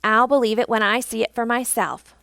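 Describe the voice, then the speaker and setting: A stubborn, doubting storybook crow, acted by a woman, snappish and insistent. The voice sits fairly high with a creaky edge. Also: steady tone, a little fast, fairly bright tone, no echo, clean studio sound. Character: stubborn, sceptical male crow.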